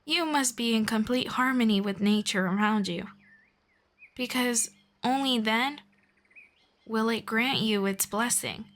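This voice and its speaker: Woman voicing a soft-spoken ageless elf mentor. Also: fairly high pitch. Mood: gentle, soothing. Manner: soft-spoken, slow and patient, drifting into whispers. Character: gentle ageless female elf mentor, patient and kind. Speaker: voice actor. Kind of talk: ASMR roleplay.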